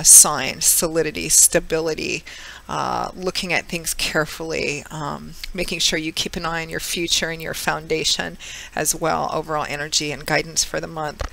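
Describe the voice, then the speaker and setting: A woman giving a chatty tarot reading, her voice hoarse and a little froggy from a cold. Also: bright, forceful tone, low-quality recording. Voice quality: slightly froggy, squeaky. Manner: chatty, with many fillers. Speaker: tarot reader. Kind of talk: casual monthly tarot reading.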